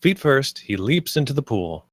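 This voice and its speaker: A man's voice: medium pitch, big pitch swings, moderate pace, no room sound, studio quality. A man reading stage directions as scripted narration at a screenplay table read.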